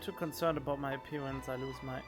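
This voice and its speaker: A man's voice: medium pitch, fairly even intonation, fast talker. A man doing casual, joking let's play commentary and reading dialogue choices aloud. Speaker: gaming streamer. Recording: faint game music behind.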